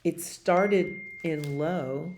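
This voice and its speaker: A woman in her sixties doing an expressive children's storybook read-aloud, with dramatic pauses and emphasis. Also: deep voice, heavy background noise. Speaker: library storytime reader. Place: small library community room, indoors.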